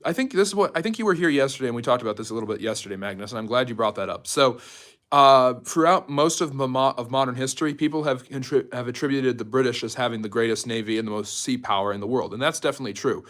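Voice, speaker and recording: A man speaks in a mid-pitched voice as the host of a casual history live stream. He is chatty and informal, moving between explanation and replies to viewers in the manner of an off-the-cuff lecture. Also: fast, fairly bright tone. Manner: chatty, jumps between topics, reads out and answers viewer comments.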